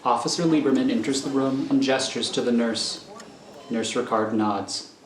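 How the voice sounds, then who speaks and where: Male narrator reading screenplay directions: medium pitch, scripted delivery, roomy sound with noise. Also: moderate pace. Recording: echoey room, clear background noise.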